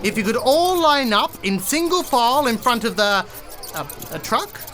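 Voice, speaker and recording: Casting: eager scarecrow, highish and very lively, scatterbrained word-fumbler, cheerful audio drama comic. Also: female voice, normal speed, slight background noise. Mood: excited planning. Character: cheerful, eager, dim-witted but inventive scarecrow.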